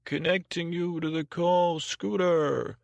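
An obliging podcast sidekick played by a man, pitched up into a higher register. He announces things in a clipped, dutiful way, like an eager operator, with a gravelly edge and a close, dry sound. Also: steady tone, slow pace, dry recording.

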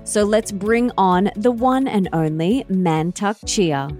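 A woman's voice: medium pitch, expressive, slow, darkish tone. Enthusiastic lady doing a podcast intro and interview, midrange voice, bit of music behind. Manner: effusive, enthusiastic.

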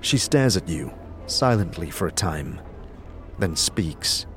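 A man's voice: low-pitched, expressive, slow pace, slight background noise. A man reading scripted, dramatic storytelling narration as the narrator of an audio drama. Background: music.

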